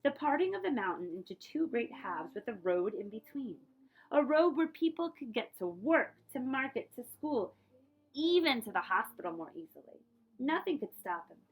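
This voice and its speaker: A woman reading a picture book aloud like a librarian, with expressive delivery, dramatic emphasis on key words and explanatory asides. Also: fairly high pitch, normal speed, slightly rough, poor audio.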